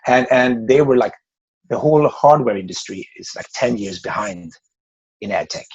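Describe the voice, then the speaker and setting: A man's voice: medium pitch, expressive, moderate pace, breathy edge, dry recording, highs cut off. A man in his forties from Sweden with a Swedish accent, a podcast guest talking casually and rambling with many restarts. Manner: rambling, restarts words often. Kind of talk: casual interview chat.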